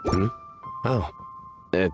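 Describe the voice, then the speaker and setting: An actor playing a solemn, deadpan young man in a video game, speaking in a measured way with pauses. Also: sits low. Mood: deadpan, pensive. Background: music.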